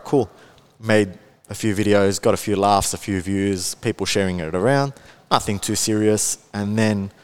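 A man's voice: fairly low pitch, darkish tone. A man in his twenties with an Australian accent, chatting casually as a podcast guest, laid-back and full of fillers. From Melbourne, Australia. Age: 20s. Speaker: guest, content creator.

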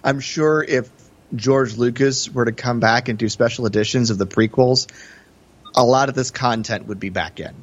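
A man's voice: mid-range voice, normal speed, lo-fi sound. A man co-hosting a podcast, talking through film in a casual, conversational way with hesitant, hedged phrasing. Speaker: podcast co-host.

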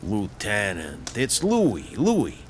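An actor with a Brooklyn accent playing a vain, self-taught mob boss of about thirty in a radio drama, who keeps correcting his own rough grammar. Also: on the low side, huge pitch swings, very slow, slight background noise, heavily acted. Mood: boastful. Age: 30s. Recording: radio drama with music behind.

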